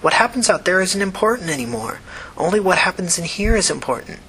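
A man voicing an earnest young woman, a devout cult follower who talks in an urgent, hushed, preachy way. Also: on the low side, very animated, brisk, slight background noise, voice pushed up, low-quality recording. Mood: solemn, preachy.

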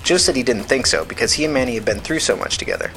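A man reading fiction as a podcast narrator, in a measured, matter-of-fact scripted audiobook style. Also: mid-range voice, big pitch swings, gravelly, fairly bright tone, soft background music, rough recording.

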